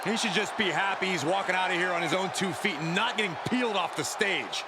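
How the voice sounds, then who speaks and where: A man's voice: high-pitched, fairly quick, a loud crowd, natural voice. A man playing a sarcastic, needling colour commentator in a wrestling video game. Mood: dismissive. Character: snide, heckling male wrestling colour commentator. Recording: very noisy arena behind the voice.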